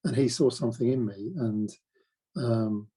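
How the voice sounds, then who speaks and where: A man's voice: medium pitch, a little slow, warm tone, breathy edge. A man in his forties who stammers, telling his own story in a hesitant, reflective way with many restarts. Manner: stammers, with repeated words and blocked sounds. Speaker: interviewee. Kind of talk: reflective personal account.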